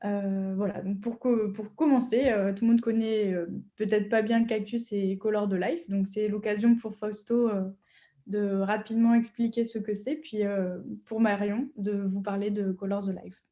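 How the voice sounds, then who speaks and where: A woman's voice: medium pitch, fairly even intonation, normal speed, mellow, highs cut off. A woman hosting an online webinar, explaining the program with frequent hesitations. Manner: hesitant, many fillers. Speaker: webinar host. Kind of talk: webinar moderation.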